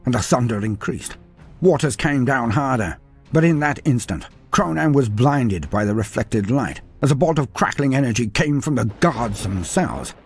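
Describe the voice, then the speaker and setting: A man's voice: mid-range voice, husky. A man reading a fantasy story aloud with a dramatic delivery, his voice rising and falling through the telling. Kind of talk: scripted fantasy story narration.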